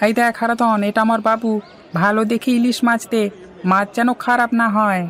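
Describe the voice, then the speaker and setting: A woman's voice: a little high, level tone, brisk, fairly bright tone, close to an everyday voice. A woman voicing an eager, loyal, boastful male household servant in a cartoon folktale. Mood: confident. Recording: prominent music under the voice.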